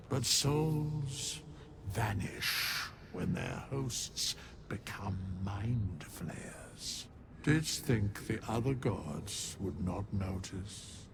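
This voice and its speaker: An ancient scornful god in a video game, super slow and creaky, grand old-time speech, noisy ambience behind. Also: male voice, on the low side, heavily acted. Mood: grave contempt. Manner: grave, archaic, contemptuous, declamatory. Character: stern, scornful, ancient godlike judge of archaic speech. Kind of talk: theatrical video game acting.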